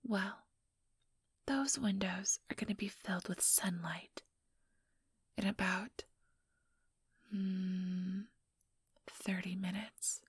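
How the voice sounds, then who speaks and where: Female vampire schemer played by a woman, ASMR role-play, heavy breathy whisper, slow taunting delivery, coldly menacing, ambitious, mock-sweet. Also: medium pitch, steady tone, no room sound, studio quality. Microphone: close, dry microphone.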